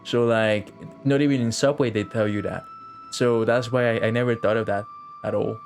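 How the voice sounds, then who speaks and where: Guy from costa rica streaming a game, rambly n jokey, swears a bit, quiet music under. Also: fairly low pitch, fairly even intonation, normal speed. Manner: rambling, joking, swears casually. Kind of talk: casual live stream chat.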